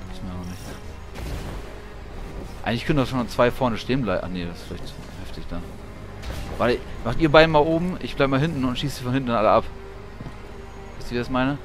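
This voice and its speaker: A man's voice: mid-range voice, moderate pace, long pauses. A man streaming a game live, chatting and grumbling as he thinks aloud. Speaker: gaming streamer. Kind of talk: casual live gameplay commentary. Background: game music.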